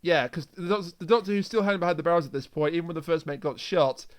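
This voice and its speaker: High-pitched man chatting as podcast host. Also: fast. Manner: jokey, acts out bits of dialogue. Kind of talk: casual review chat, recapping a story.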